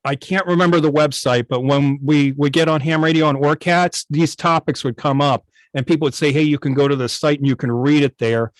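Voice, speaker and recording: A man speaking as a guest on a casual video call, telling halting anecdotes like a keen hobbyist. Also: on the high side, fairly even intonation, dry recording, poor audio. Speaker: guest, old-time radio station operator. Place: indoors at home on a video call.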